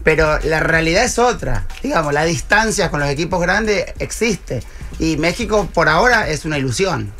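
A man giving measured, analytical sports opinions on a radio show. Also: on the high side, expressive, sharp tone, soft background music. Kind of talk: casual radio sports chat.

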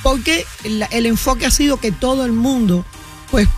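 A woman's voice: a little high, normal speed, faint music behind. A woman with a Cuban accent, a guest in an interview, talking fluently and persuasively with frequent hesitation fillers. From Cuba. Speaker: guest, insurance agency founder. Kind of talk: promotional interview talk.

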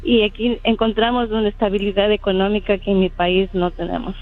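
Calm sincere woman caller. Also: mid-range voice, fairly quick, phone-quality sound, a crowd in the background. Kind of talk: phone-in radio call.

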